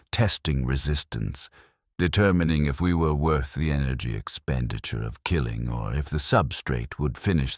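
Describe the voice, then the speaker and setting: Male horror narrator, very low register, expressive pitch movement, measured and solemn delivery. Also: no room sound, studio quality. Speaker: story narrator. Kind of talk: scripted first-person horror narration.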